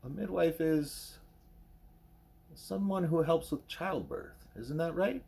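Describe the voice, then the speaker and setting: A man's voice: fairly high pitch, takes it slowly, gravelly, darkish tone, slightly rough, pitched above the performer's own voice, exaggerated voice. A man reading the lines of a troubled, blunt schoolgirl in an audiobook, plain-spoken and guarded. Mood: puzzled, guarded. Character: wary, troubled, blunt schoolgirl narrating her story.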